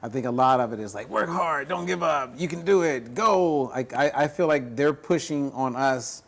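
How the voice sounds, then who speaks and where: A man co-hosting a talk show, chatting in a relaxed, playful way. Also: mid-range voice, normal speed, gravelly. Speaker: co-host. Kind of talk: casual talk show chat.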